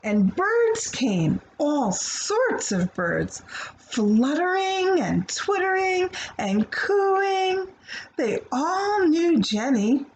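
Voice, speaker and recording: Female children's read-aloud host, very lively intonation, unhurried, speaks directly to young listeners. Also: high voice, a little hiss or hum, low-quality recording. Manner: expressive, playful, addressing children directly. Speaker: children's storybook reader. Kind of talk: animated picture-book read-aloud with asides to young listeners.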